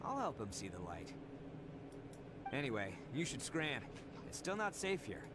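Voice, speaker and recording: An actor playing a cocky, good-hearted young oni gang boss in a video game, swaggering, theatrical and folksy. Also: male voice, mid-range voice, wildly swooping pitch, unhurried, heavy background noise. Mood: earnest. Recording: game music behind the voice.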